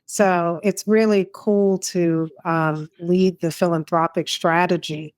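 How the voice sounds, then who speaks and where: A woman's voice: fairly low pitch, steady tone, slow pace, no echo. A woman from New Jersey, a foundation head, talking about her career in an interview. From Newark, New Jersey. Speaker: guest, foundation president. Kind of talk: podcast interview.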